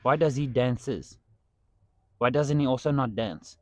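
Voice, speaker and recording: A man's voice: medium pitch, measured pace, dry recording, studio quality. A man whose first language is Afrikaans, talking as a podcast guest in a casual, earnest and playful way. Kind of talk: casual chat.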